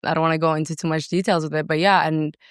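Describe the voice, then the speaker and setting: A woman's voice: low-pitched, steady tone, very fast, clean tone, no echo, studio quality. A woman from Saudi Arabia talking casually and self-assuredly as a guest in an interview, with emphatic delivery. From raised in Saudi Arabia. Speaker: podcast guest, entrepreneur.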